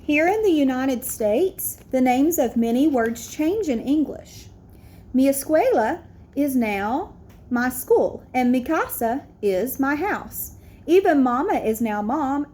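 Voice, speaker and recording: Woman reading as a proud young schoolboy, high voice. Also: mellow, exaggerated voice. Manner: earnest, declarative. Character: proud, determined young immigrant schoolboy telling his story.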